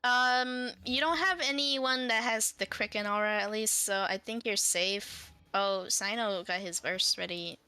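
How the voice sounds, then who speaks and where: Crisp bright woman in gaming chat. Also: medium pitch, unhurried, few pauses, no room sound. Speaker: gaming companion on stream. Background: music.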